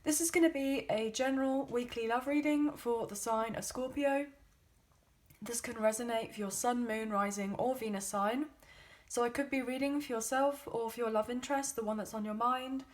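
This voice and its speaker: A woman with a slightly croaky, hoarse voice, giving a chatty tarot reading into a phone, with frequent fillers. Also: fairly high pitch, moderate pace. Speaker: tarot card reader.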